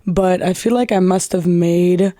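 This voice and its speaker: A young woman hosting a solo podcast in a candid, profane, chatty way, her voice raspy and tired after a weekend of socializing. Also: fairly low pitch, fairly even intonation, a little slow, warm tone, no echo, clean studio sound. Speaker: podcast host. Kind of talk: casual solo podcast monologue.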